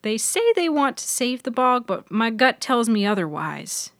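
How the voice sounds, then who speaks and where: A woman's voice: a little high, very animated, unhurried, no echo, studio quality, pitched above the performer's own voice, exaggerated voice. A woman voicing a sweet, conspiratorial young woman intern in a fiction podcast. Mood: suspicious. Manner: sweet, hushed, knowing. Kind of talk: audio fiction character dialogue.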